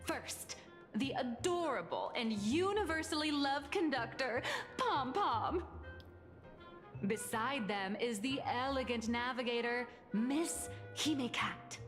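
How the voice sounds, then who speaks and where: A woman voicing a poised female comic artist in a video game, explaining in a measured, lightly wry way. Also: high-pitched, expressive, fairly bright tone, full character voice. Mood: calm. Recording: game audio with long reverb and faint music.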